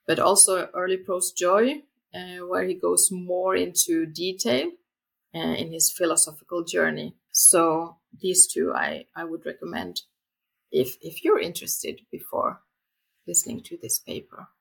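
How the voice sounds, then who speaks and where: Low, gravelly woman. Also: animated, unhurried, poor audio. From Finland. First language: Swedish. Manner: hesitant, many filler sounds and restarts. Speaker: conference speaker. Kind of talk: academic conference paper.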